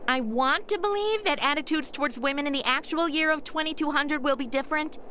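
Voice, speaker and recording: A woman's voice: high voice, fairly quick, sharp tone, slight background noise. A woman playing an outspoken, indignant young modern-day influencer in a comedic radio drama, sassy and challenging.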